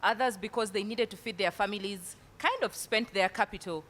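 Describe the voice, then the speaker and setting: A woman moderating a panel. She has a loud voice and uses lots of fillers. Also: a little fast. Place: large event hall. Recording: hall reverb, faint rumble.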